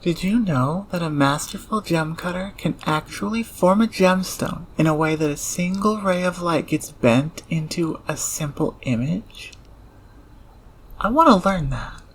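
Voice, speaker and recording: An actor in an audio roleplay playing a socially awkward, intense engineer turned thief, speaking in a halting, precise, nerdy way. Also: medium pitch, lightly acted. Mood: fascinated. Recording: clear background ambience noise.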